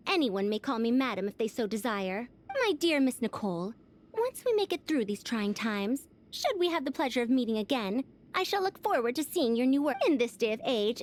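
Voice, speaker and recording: A woman's voice: a little high, a little hiss or hum, close to an everyday voice. A woman playing a refined, confident lady scholar in a video game, with a formal, theatrical and grandiose manner. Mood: grandly courteous. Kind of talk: video game character acting.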